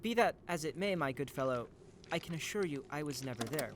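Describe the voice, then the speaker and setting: An actor playing a curious, well-mannered gentleman writer of the 1860s in an audio drama, formal and inquisitive. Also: fairly high pitch, expressive, fairly quick, slight background noise, lightly acted. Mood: puzzled, polite. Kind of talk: audio drama acting, period dialogue.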